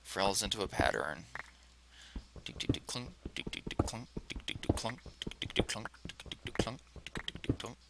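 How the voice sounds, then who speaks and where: A man casually talking to himself as he plays a game, rambling in a relaxed way. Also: on the low side, a little slow, gravelly, very noisy. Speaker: let's play host. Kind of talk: casual gameplay commentary.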